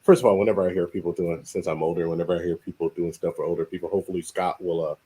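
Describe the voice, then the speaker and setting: A man's voice: low voice, fairly even intonation, rapid-fire, mellow, husky. A middle-aged man hosting a casual live-stream interview, joking with his co-host and stumbling a little as he reads out questions. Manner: joking banter with co-host, hesitant when reading questions. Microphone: video call.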